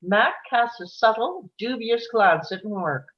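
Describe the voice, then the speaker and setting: A woman's voice: on the high side, animated, slow pace, dull audio. A woman reading scripted scene descriptions and stage directions as the narrator of a table read.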